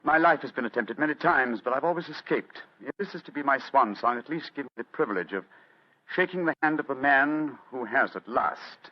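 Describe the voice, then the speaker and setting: An actor playing an aging, cool, quick-witted English detective with a clipped, dry manner, in a radio mystery. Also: male voice, mid-range voice, animated, natural voice. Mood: feigned resignation. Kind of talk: 1940s radio mystery acting. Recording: dull, muffled old radio recording.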